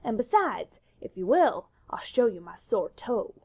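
Casting: man playing a scheming boy, high and lively, coaxing, dull lo-fi sound. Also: a little slow, gravelly, full character voice. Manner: coaxing, wheedling. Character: eager scheming young boy.

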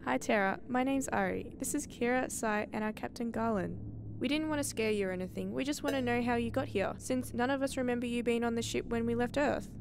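A woman playing a kind, protective spaceship crew member in an audio drama, talking in a gentle, reassuring and chatty way. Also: fairly high pitch, a little fast, vocal fry, noisy background.

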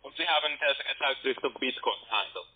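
A man's voice: mid-range voice, quick pace. A man with a foreign accent making a sales call as a call center agent on a tinny telephone line. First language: not German.

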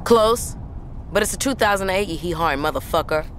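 Woman acting a guarded, lively-pitched female trucker. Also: fairly quick, close to an everyday voice. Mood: wry, blunt. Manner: terse, blunt, guarded. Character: tough, guarded, wry female truck driver. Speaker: truck driver. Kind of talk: naturalistic audio drama acting. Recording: vehicle noise in truck cab.

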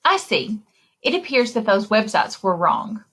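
A woman in her thirties reading a picture book aloud to children in an expressive, exclaiming way, speaking directly to her young listeners, with a slightly husky voice. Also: mid-range voice, a little slow. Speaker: children's librarian and story time reader. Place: indoor room, recorded screen share with webcam.

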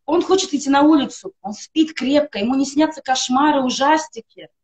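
Woman, middle-aged, slightly high pitched, earnest testimonial, emphatic lists, webcam video call, home room. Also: a little fast, darkish tone. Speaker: guest on a live stream. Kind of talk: personal testimonial for a wellness product.

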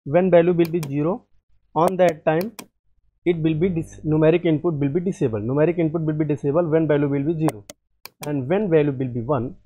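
A man walking through a software tutorial, halting and often repeating or correcting himself. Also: on the high side, a little hiss or hum, poor audio. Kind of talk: screen-recorded software tutorial.

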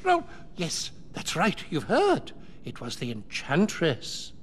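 Fussy stammering clock butler, high swoopy voice, echoey game audio with some noise. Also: male voice, wildly swooping pitch, slow pace, a bit bright, heavily acted. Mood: flustered, indignant. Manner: flustered, stammering, formal. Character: fussy, anxious little male enchanted clock butler.

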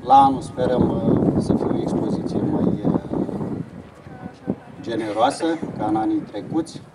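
A man speaking haltingly as an official making announcements in a public speech at an outdoor event. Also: medium pitch, fairly even intonation. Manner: halting delivery. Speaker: chief inspector. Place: open-air fairground stage. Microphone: distant camera, speech over loudspeakers. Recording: very noisy distant outdoor event recording.

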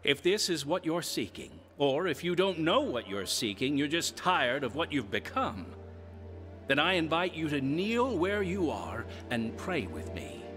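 An earnest prison preacher in a radio drama. His fairly high voice moves with great animation, over faint music. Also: very animated, measured pace, fairly bright tone. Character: earnest male prison preacher offering hope.

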